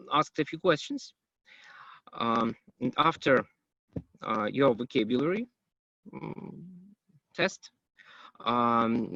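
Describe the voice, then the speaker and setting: A man giving a conference talk as an English teacher, pausing often with ums and uhs. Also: mid-range voice, vocal fry, sharp tone, dry recording. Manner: hesitant, many ums and uhs. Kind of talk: conference presentation. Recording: dull, muffled, dry.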